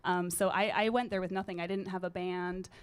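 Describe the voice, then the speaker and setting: A woman in her thirties, a singer-songwriter with a low, slightly gritty voice, chatting casually and loosely as a guest in a radio studio. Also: a little fast, smooth. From British Columbia, Canada. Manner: relaxed, rambling, with frequent fillers. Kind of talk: casual interview chat. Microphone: studio microphone.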